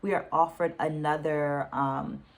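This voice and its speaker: A middle-aged woman with a low-pitched voice shares reading takeaways with her viewers. She speaks as an educator would, articulate and deliberate, often restating a phrase to stress it. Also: flat delivery, gravelly. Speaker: book reading vlogger, dancer and educator. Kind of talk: reflective book-takeaway vlog, semi-scripted talk to viewers.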